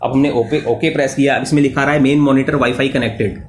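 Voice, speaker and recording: An Indian man giving an unscripted step-by-step product demonstration as a tutorial presenter, repeating himself and mixing in English terms in a halting way. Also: mid-range voice, fairly even intonation. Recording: echoey room, faint background noise.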